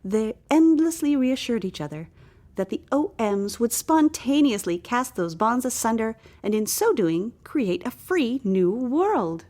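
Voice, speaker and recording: A woman reading a satirical parable as scripted storybook narration, with theatrical, deliberate phrasing and stretched emphasis. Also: on the high side, very animated, dark tone. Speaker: story reader.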